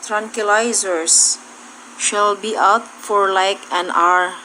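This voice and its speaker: Capable woman doctor acted by a woman, forceful bright voice, slow pace, faint noise, audio drama. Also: mid-range voice, fairly even intonation. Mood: commanding. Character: woman doctor armed with tranquilizers, brisk and capable. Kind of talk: amateur audio drama acting. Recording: noisy room ambience.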